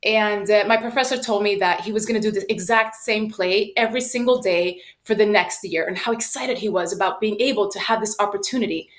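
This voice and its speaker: Woman, late thirties, interview guest, personal storytelling, animated and emphatic delivery, mid-range voice. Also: medium pitch, brisk, hardly any pauses. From United States and Sweden. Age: late 30s. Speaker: podcast guest, sales professional.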